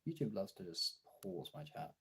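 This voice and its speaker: A young man with a husky voice chatting casually and informally as a livestreamer, replying to his viewers as he goes. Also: fairly low pitch, big pitch swings, moderate pace, bright, forceful tone. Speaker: streamer and video creator.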